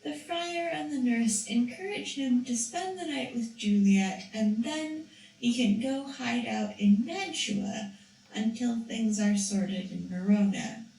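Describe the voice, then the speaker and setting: A woman's voice: on the high side, animated, slow, gravelly, a little mellow, recorded from a distance. A woman narrating a story for a school puppet show, reading carefully with a few restarts. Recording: echoey room, voice from off-mic.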